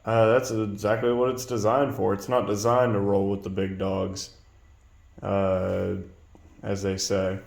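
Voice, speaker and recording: A man's voice: lowish voice, flat delivery, measured pace, a little mellow. A man giving a casual product demonstration, explaining things in a plain-spoken way as a reviewer. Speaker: presenter reviewing radio gear. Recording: clear background noise.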